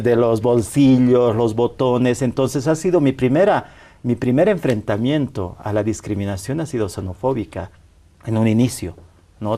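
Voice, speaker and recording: Bolivian man, 40s, TV interview guest; mellow, dark timbre, measured and reflective delivery. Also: medium pitch. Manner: measured, frequent tag questions and restarts. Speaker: interview guest, rights activist. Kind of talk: reflective personal storytelling. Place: television studio.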